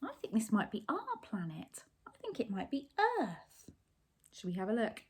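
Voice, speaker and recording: A woman's voice: medium pitch, huge pitch swings, normal speed, husky. A woman reading a picture book aloud expressively, putting simple questions and explanations to young children. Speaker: children's story reader.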